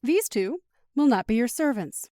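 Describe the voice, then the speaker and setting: A firm, protective mother in an audio drama. The voice is fairly high and dryly recorded, giving instructions with patient, teasing authority. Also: very animated, normal speed, warm tone, no room sound, studio quality.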